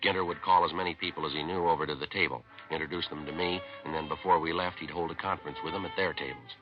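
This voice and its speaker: A muffled 1950s radio recording of a hard-boiled American police detective. His voice is extremely deep and he talks fast. He is terse, deadpan and matter-of-fact, wasting no words. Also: soft background music. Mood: flat, matter-of-fact. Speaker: lead actor and narrator. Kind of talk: 1950s radio police drama acting and narration.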